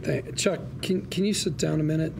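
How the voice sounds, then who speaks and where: A man acting a struggling, street-smart lawyer and younger brother at a drama table read, colloquial, halting and pleading. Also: on the high side, fairly even intonation. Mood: hesitant, pleading. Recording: clearly noisy room recording.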